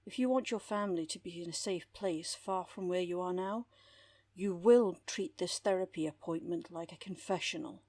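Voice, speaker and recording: Woman acting a low, husky, composed underworld therapist. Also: a little mellow, no room sound, lightly acted. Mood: firm, commanding. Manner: measured, dry, formal wording. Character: composed, wry female underworld therapist who makes people disappear. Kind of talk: audio roleplay drama acting.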